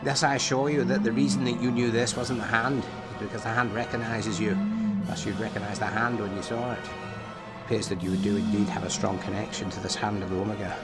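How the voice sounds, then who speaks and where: A man playing an enigmatic therapist in a fan audio drama, asking probing questions in a formal, measured, wry way. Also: lowish voice, little pitch movement, over loud music, lightly acted. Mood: probing, sceptical.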